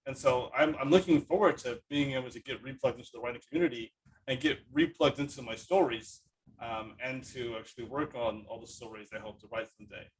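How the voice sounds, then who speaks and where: A man's voice: mid-range voice, a little fast, recorded from a distance, poor audio. A man hosting a podcast in a casual, rambling conversational way, often repeating his phrases. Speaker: podcast host. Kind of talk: casual conversational interview talk.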